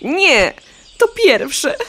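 An actress playing a cheeky, vain young hen in a children's radio play, sulky and mocking. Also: female voice, high voice, sing-song, drawn-out words, a bit bright, slight background noise, heavily acted. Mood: snappy.

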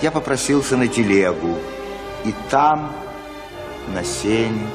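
A man narrating a radio play as a storyteller, in a measured and dramatic way. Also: medium pitch, expressive, music in the background.

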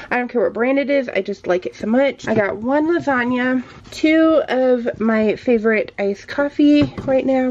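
A woman's voice: on the high side, darkish tone, slight background noise. An American woman chatting casually to viewers as a home vlogger, in a slightly muffled indoor recording. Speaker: vlogger showing a grocery haul.

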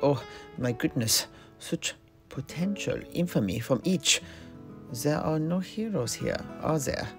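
A man's voice: a little high, animated, measured pace, faint music behind. A man voicing a courteous mercenary knight in fantasy banter, proper and earnest, given to exclamations. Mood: dismayed.

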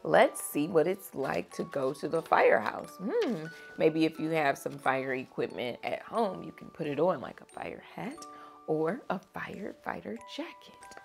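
Young woman, children's read-aloud host. Unhurried, teacherly, very animated intonation, music behind. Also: low voice, creaky. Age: young adult. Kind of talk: expressive storybook read-aloud and chat to children. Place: small furnished room indoors.

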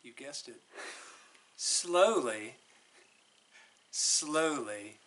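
A man in his thirties reading a children's picture book aloud in a deliberate, drawn-out, expressive way. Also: mid-range voice. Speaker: storytime guest reader. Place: living room by a brick fireplace.

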